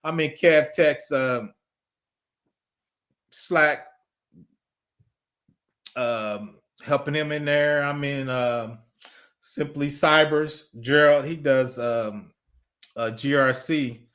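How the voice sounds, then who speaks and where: A man's voice: a little high, drawn-out words, pauses often, fairly bright tone. A man, chatting casually on a livestream, reading viewer messages, laughing often and rambling through asides. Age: 50s. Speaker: livestream host reading super chats.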